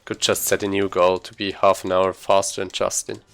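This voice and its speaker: A man's voice: low-pitched, completely flat delivery, moderate pace, vocal fry, a bit bright. A man giving casual, informal gameplay commentary as a speedrunner, thinking aloud as he plays.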